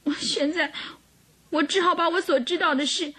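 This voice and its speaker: An actress playing a gentle, sorrowful young governess, earnest and emotional, in a radio drama. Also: female voice, very high-pitched, steady tone, normal speed. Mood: tearful, resigned. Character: gentle, sorrowful young governess under suspicion, pleading tearfully.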